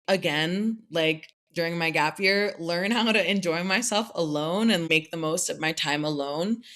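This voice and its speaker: A young woman from the United States answers interview questions about her life. She speaks in a somewhat low voice. She talks reflectively and fills her pauses with "like.". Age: young adult. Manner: thoughtful, many "like" fillers, light laughs.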